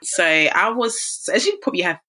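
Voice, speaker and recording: Woman, 20s, from Ghana, guest, bright voice, earnest, lots of ums. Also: fairly low pitch, sing-song, normal speed. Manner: warm, earnest, many fillers. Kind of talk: casual interview chat.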